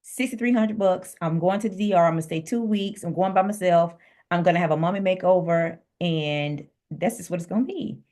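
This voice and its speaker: A woman's voice: fairly low pitch, fairly quick. A woman co-hosting a podcast, talking frankly and telling stories in a casual chat. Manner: frank, animated storytelling, affirming listener. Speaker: podcast co-host, registered nurse.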